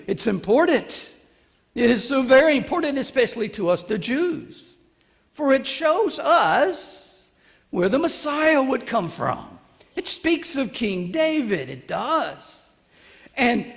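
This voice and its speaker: A man playing a proud, excitable biblical carpenter in a theatrical church monologue, emphatic and halting, repeating phrases to his listeners. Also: very high voice, full character voice. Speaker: monologue performer. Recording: reverberant church hall.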